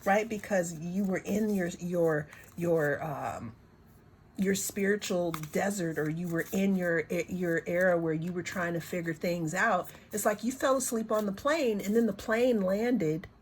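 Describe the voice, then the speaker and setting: A woman giving a reflective spiritual card reading, her voice hoarse, talking in unhurried, circling phrases that often repeat words. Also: fairly low pitch, a little hiss or hum. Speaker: tarot and oracle card reader.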